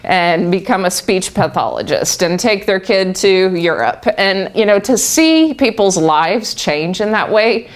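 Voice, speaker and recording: A woman's voice: medium pitch, sing-song, measured pace, few pauses. Middle-aged woman, plain medium voice, earnest storyteller who laughs a lot and trips over her own openings. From Texas. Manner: warm, laughs often, many restarts. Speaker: guest speaker. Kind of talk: personal talk to a live group.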